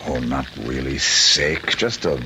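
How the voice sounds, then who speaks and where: An actor playing a sheepish, mischievous husband in a radio drama, coyly playing innocent. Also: male voice, very deep voice, slow, sharp tone, a little hiss or hum, exaggerated voice. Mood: sheepish, sly. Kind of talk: old-time radio drama acting.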